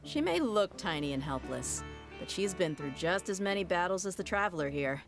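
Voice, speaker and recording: Tough young merc woman in a game, low voice, cocky and easygoing. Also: lively intonation, normal speed, over music. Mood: warm, easygoing. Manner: casual, self-assured, teasing. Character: confident young female mercenary, tough, teasing, easygoing.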